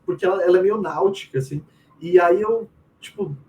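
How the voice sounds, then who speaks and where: A man's voice: high voice, brisk, a little mellow. A man with a Brazilian accent chatting casually and slangily as a guest, telling anecdotes and quoting his own thoughts. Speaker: game writer, interviewee. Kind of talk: casual chat, animated anecdotes. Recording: distant mic with faint room noise.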